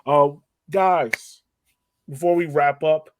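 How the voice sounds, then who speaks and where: A man's voice: fairly high pitch, steady tone, slow pace, no echo, clean studio sound. A man co-hosting a soccer fan podcast, talking casually and informally about games in an upbeat way. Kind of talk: casual game-analysis chat.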